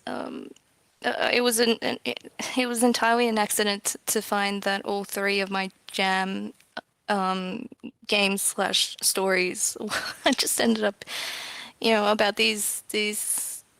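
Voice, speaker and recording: A woman's voice: mid-range voice, level tone, slow pace, gravelly, fairly bright tone, smooth, dry recording. A woman giving casual, informal interview answers as a guest game developer, in a self-effacing way.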